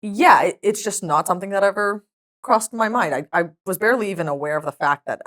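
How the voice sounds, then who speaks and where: A woman whose first language is Hebrew, a podcast guest telling stories in a lively, chatty way and laughing often. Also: medium pitch, brisk. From Israel. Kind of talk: casual chat.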